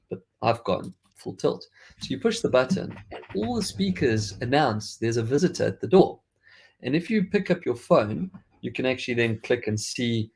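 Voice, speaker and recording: A man's voice: medium pitch, vocal fry, darkish tone, slight background noise. A man from South Africa with a faded South African accent, hosting a podcast in a chatty, rambling way with frequent restarts. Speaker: podcast host. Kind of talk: casual tech chat.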